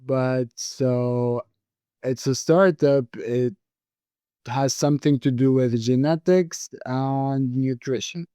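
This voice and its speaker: Guy hosting a chill podcast, mellow smooth voice, kinda halting, dry close sound. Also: mid-range voice, fairly even intonation, dry recording. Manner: halting, many restarts and "like". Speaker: podcast host. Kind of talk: casual interview chat.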